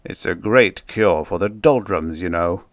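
A man's voice: deep voice, measured pace, dry recording. A man voicing an earnest, well-meaning spaceman who is insistent and plain-spoken, in a story reading.

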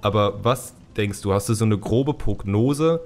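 A man's voice: fairly low pitch, a little slow, a little mellow. A man hosting a casual podcast interview. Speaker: podcast host.

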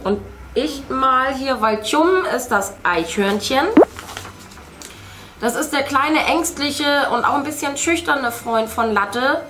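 A woman's voice: high voice, takes it slowly, heavy background noise. A middle-aged woman hosting a children's craft video, casually explaining things to young viewers. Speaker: host of a children's craft channel. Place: indoor room, seated at a craft table.

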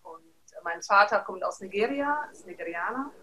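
A woman in her thirties from Czechoslovakia speaks as a guest coach over a webcam. Her voice sits mid-height, and she tells her story in a lively way with many pauses and fillers. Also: medium pitch, slight background noise, poor audio. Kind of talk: interview storytelling. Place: studio room with teal backdrop.

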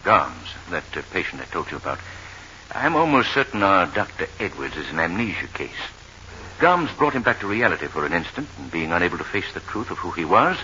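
Coldly clinical older male director, low, forceful, authoritative; vintage radio drama. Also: big pitch swings, a little fast, heavily acted. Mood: measured, clinical. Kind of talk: 1940s radio acting. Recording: dull, hissy 1940s radio broadcast.

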